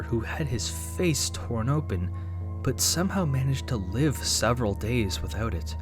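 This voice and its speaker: Wry young man narrating a video essay. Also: medium pitch. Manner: wry, sarcastic asides. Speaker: YouTube commentator.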